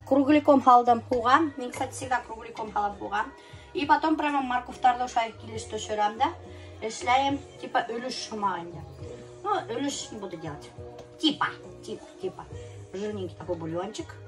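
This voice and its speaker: A woman with a Bashkir accent chatting casually as a vlogger, switching between two languages in an animated, exclamatory way. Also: a little high, normal speed, over music. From Bashkortostan, Russia.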